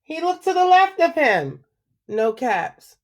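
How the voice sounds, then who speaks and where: A woman reading a picture book aloud to children, slow and expressive, pausing to ask them questions. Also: high-pitched. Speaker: children's story reader. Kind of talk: read-aloud storytelling for children.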